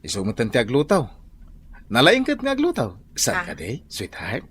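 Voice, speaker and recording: A man's voice: mid-range voice, wildly swooping pitch, moderate pace, fairly bright tone, breathy edge, exaggerated voice. Man playing a swooping, lovestruck Ilocano older brother. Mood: flirty. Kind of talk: Ilocano radio comedy drama acting.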